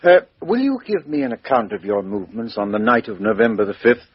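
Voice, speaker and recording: An actor playing a brisk, plain-spoken English chief inspector, businesslike and questioning, in a radio drama. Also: male voice, lowish voice, sing-song, slightly rough. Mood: probing, polite. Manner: businesslike, questioning, muttering asides. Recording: roomy, dull lo-fi radio recording.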